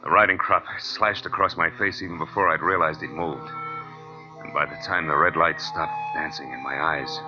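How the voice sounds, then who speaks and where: An actor playing a hard-boiled, sardonic private detective in a clipped 1940s radio drama style. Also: male voice, rumbling low, steady tone, moderate pace, music in the background. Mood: wry narration. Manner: clipped, sardonic tough-guy delivery. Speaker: lead actor and narrator. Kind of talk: 1940s radio detective acting, first-person narration. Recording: dull old radio recording.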